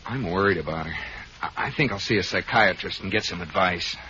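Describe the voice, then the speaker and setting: An actor playing a worried, stammering banker husband in a 1940s radio comedy. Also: male voice, low-pitched, fairly quick, pushed and bright. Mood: flustered. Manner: stammering, flustered. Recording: dull, noisy old radio broadcast with audience.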